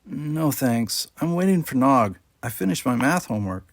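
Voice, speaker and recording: A man's voice: mid-range voice, expressive, moderate pace, no echo, lightly acted. A man voicing a friendly, earnest human schoolboy in an audiobook reading. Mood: casual. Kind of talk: acted dialogue in audiobook reading.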